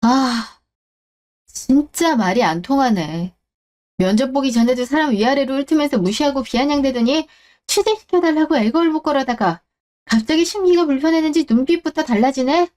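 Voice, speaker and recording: A woman's voice: high-pitched, expressive, moderate pace, a little mellow. A woman playing a proud ex-wife and company executive in a radio drama, scolding with cutting, defiant scorn. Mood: exasperated, defiant. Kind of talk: Korean radio-drama story acting.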